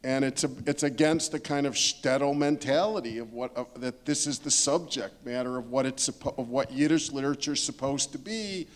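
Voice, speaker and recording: A man's voice: medium pitch, normal speed, few pauses. A man with a mid-range voice speaks on an informal panel. He is discursive and anecdotal, thinking aloud and rewording his sentences as he goes.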